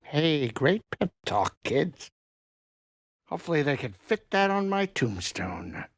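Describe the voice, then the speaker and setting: A man playing a middle-aged alien pilot and saloon owner in a video game, anxious but kindhearted, with expressive, folksy, wry grumbling. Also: on the high side, takes it slowly, no echo, clean studio sound, full character voice. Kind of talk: video game character acting.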